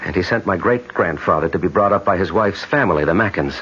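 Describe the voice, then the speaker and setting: Muffled vintage radio drama: a brooding American ad man and old college friend, low, earnest, deliberate. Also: a bit bright, slightly rough. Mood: brooding, insistent. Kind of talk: 1970s radio drama acting. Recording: slightly noisy, muffled 1970s radio broadcast.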